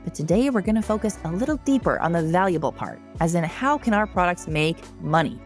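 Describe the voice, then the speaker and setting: Woman podcast host, quick and upbeat, bit low voice. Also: expressive, faint music behind. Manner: upbeat, enthusiastic. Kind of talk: scripted intro then interview questions.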